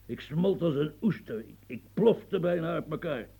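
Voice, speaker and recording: An elderly marquis with a creaky, rough-edged voice in a radio play. He rambles and grumbles like an old campaigner. His sentences stammer, repeat and drift off into musing. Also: fairly high pitch, expressive, moderate pace, warm tone, full character voice. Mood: chatty, confiding. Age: older. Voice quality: gravelly, husky. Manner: stammering, repetitive, musing, earthy, prods with "huh". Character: rambling, grumbling old French marquis, retired military officer.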